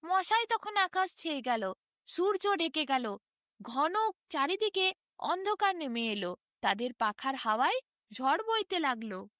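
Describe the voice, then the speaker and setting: A woman narrating a children's bedtime story in an expressive, scripted style. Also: high voice, unhurried, no echo, clean studio sound.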